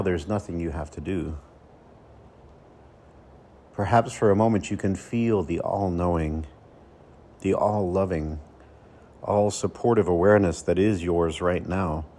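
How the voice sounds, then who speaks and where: A man leading a guided meditation as a coach, speaking slowly and soothingly with deliberate pauses between phrases. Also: sits low, mellow, slight background noise.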